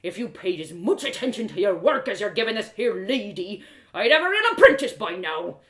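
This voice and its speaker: A woman voicing a gruff, stocky old male blacksmith with a rustic dialect and blustering exclamations in a storybook reading. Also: medium pitch, very animated, fairly quick, fairly bright tone, echoey room. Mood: scolding, blustering. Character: gruff, stocky, red-bearded old male blacksmith, scolding yet genial. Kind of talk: storybook character voice.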